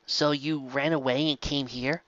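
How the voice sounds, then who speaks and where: A man's voice: mid-range voice, steady tone, measured pace, a bit bright, dry recording, dull audio, lightly acted. A man voicing a young female pegasus pony, casual, blunt and stubborn, in informal everyday phrasing. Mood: puzzled.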